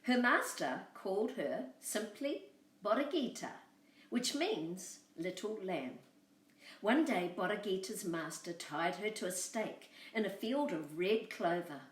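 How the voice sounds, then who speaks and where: A woman telling a storybook tale to children, expressive and speaking directly to her young listeners. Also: medium pitch, a little slow. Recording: roomy echo, far from mic.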